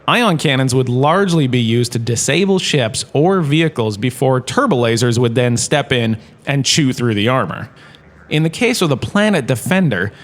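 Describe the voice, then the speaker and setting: Guy doing a washed up actor turned professor, bit high pitched, chatty and jokey, salesman patter, comedy audio drama lecture. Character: washed-up holodrama star turned wisecracking male university lecturer. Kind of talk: comedic scripted classroom lecture.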